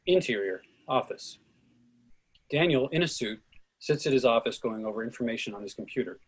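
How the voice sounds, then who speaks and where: Narrator, male, mid-range and a little raspy, reading script action for a readers theatre. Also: medium pitch, animated, a little fast. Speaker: narrator reading stage directions.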